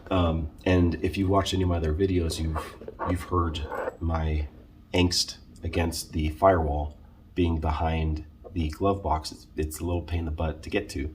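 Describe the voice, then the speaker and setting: A man talking casually through a how-to vlog in a halting, conversational way, often correcting himself. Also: very deep voice, level tone, a little mellow, slight background noise. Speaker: video creator showing a car radio install.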